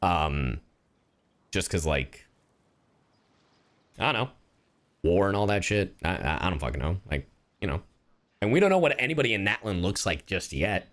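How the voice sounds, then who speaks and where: Low-pitched man, casual game streamer. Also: sing-song, moderate pace, long pauses, fairly bright tone. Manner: slangy, profane. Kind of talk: casual live-stream commentary.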